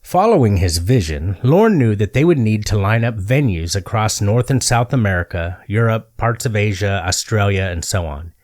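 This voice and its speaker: Man narrating with very lively, swooping pitch. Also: normal speed, hardly any pauses, no echo, clean studio sound. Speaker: comedy storyteller narrator. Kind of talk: scripted comedic narration.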